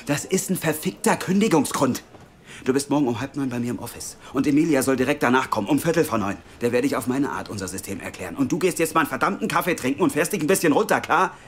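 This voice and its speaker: A man's voice: medium pitch, lively intonation, fast talker, faint music behind, lightly acted. An actor playing a furious male boss who swears and gives harsh orders, in a radio play.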